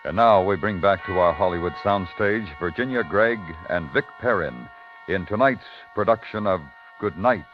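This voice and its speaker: A man's voice: low voice, normal speed. A man with an American accent announcing a 1950s radio thriller in a formal, theatrical style. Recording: dull 1950s radio recording, loud music.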